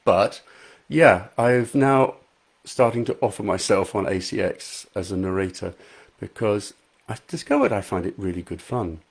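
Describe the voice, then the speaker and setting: A man with a soothing, fairly low voice chats casually to viewers on a live stream. He answers their comments with a self-deprecating warmth of manner, speaking into a good microphone in a small, untreated room at home. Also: animated, normal speed, creaky, breathy edge. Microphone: high quality microphone.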